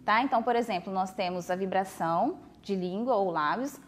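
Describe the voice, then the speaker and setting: A woman's voice: mid-range voice, fairly quick, noisy background. A woman with a Brazilian Portuguese accent, a speech therapist explaining voice care on a TV talk show in a patient way. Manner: patient, explanatory, uses many fillers like "né" and "tá". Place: TV studio.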